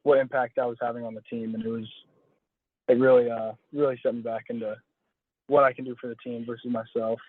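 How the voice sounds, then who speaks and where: A young man answers interview questions casually in a mid-pitched voice, circling back and rephrasing as he talks. The sound is a lo-fi phone call, dull and dry, with no sense of room. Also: little pitch movement, fairly quick, no echo. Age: young adult. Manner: hedging, self-correcting, restating phrases. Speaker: college baseball pitcher being interviewed.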